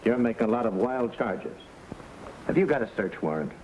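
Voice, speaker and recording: A man's voice: medium pitch, expressive, normal speed, lightly acted. A man playing a brazen, defiant crime boss in an old Western drama, speaking in a curt, commanding way. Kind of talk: mid-century Western drama acting. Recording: noisy vintage drama recording.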